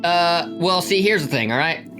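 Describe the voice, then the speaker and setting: A man's voice: fairly high pitch, lively intonation, moderate pace, over music, pitched above the performer's own voice, close to an everyday voice. A man playing a hapless small-time gang crook who stammers and rambles as he defends himself, in a tabletop game. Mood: defensive. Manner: stammering, self-justifying, rambling.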